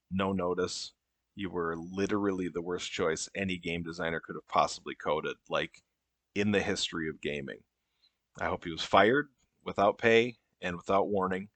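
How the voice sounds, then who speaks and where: A man playing an exasperated, patronizing therapy group leader in a comedy sketch, speaking in a halting, deadpan way. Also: sits low, no echo. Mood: dismissive.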